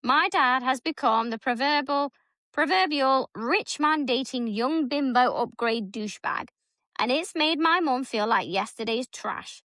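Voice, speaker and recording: Bright, blunt, foul-mouthed woman in her thirties. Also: high voice, a little slow, dry recording. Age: 30s. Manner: blunt, swears freely, animated storytelling. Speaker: podcast co-host. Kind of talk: casual chat reading a story aloud.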